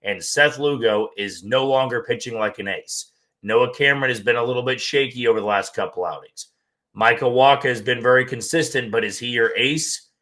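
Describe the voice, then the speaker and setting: A man hosting a sports podcast with a hoarse voice that he is losing, giving frank, plain opinions. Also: mid-range voice, normal speed, a bit bright. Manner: talks straight through with frank, plain opinions. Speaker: podcast host.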